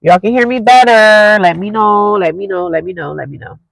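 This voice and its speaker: A woman's voice: mid-range voice, normal speed, gravelly, no room sound, rough recording. A young woman, stuffed up and congested, chatting casually with viewers on a live stream through a cheap earphone mic. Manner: rambling, chatty, talks straight to viewers.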